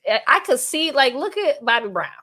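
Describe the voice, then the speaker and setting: A woman's voice: high-pitched, sing-song, fairly quick, bright, forceful tone, husky. A woman in her thirties talking candidly as a podcast guest, earnest and rambling, often asking "you know what I'm saying". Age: 30s. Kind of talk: candid conversational talk.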